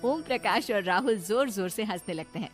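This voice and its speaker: A woman's voice: mid-range voice, lively intonation, fairly quick. A woman narrating a scripted family moral story as its storyteller. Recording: music under the voice.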